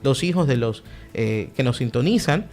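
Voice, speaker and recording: A man's voice: mid-range voice, expressive, normal speed, a little mellow, soft background music. A young man, answering a radio interview in an emphatic, speech-like way. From Ecuador. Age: young adult. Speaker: political guest, party director.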